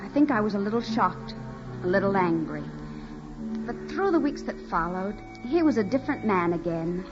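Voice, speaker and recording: A woman's voice: mid-range voice, very animated, moderate pace, close to an everyday voice. An actress playing a naive, earnest young farm woman, hesitant and adoring, in a 1940s radio drama. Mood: wistful narration. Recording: muffled 1940s radio recording with music.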